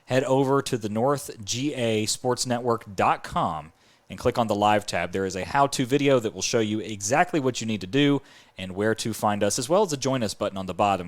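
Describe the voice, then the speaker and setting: A man hosting a sports podcast in an upbeat, chatty presenting style. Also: mid-range voice.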